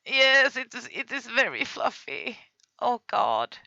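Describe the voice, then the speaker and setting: A woman with a Swedish accent chats her way through fan videos on a live stream, slow and unhurried, laughing and apologizing as she stumbles over names. Her voice is bright and forward. The dry, dull mic makes it sound like a lo-fi home setup. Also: a little high, sing-song, gravelly, no echo. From Sweden. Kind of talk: casual live-stream commentary.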